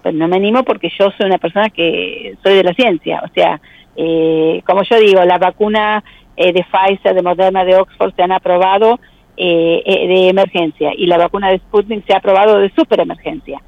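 A woman with a provincial Buenos Aires accent explaining things as an expert interviewee, in measured, hesitant phrasing. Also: on the low side, steady tone, gravelly, dry recording, dull audio. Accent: provincial Buenos Aires Argentine. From Argentina.

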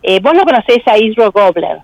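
A woman with a provincial Buenos Aires accent explaining things as an expert interviewee, in measured, hesitant phrasing. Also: mid-range voice, sing-song, vocal fry, no room sound, highs cut off. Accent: provincial Buenos Aires Argentine. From Argentina.

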